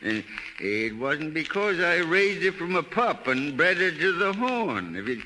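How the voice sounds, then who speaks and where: An actor playing a folksy, stubborn old hound owner with a rural American drawl and halting, plain-spoken manner in a 1930s radio drama. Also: male voice, fairly high pitch, very animated. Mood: earnest, stubborn. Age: older. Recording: hissy 1930s radio recording with clicks.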